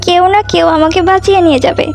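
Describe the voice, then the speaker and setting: Very high-voiced headstrong little girl. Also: steady tone, very fast, gravelly, soft background music. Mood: defiant pleading. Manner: exclamatory, pleading. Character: headstrong, defiant little girl captured by a witch. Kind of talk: cartoon acting.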